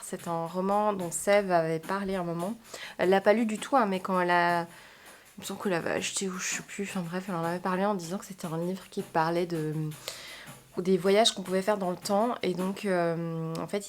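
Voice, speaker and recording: A woman's voice: lowish voice, slight background noise. A woman book vlogger from Belgium chatting casually to camera in a self-deprecating way.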